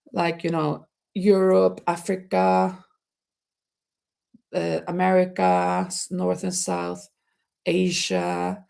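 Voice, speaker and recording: A middle-aged woman with a Norwegian accent chats unhurriedly on a home livestream. Her voice has a gravelly fry, and she laughs easily and restarts her words as she reads out comments. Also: fairly low pitch, darkish tone. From Norway. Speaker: livestream host. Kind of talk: casual chat reading viewer comments. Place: home, near a terrace.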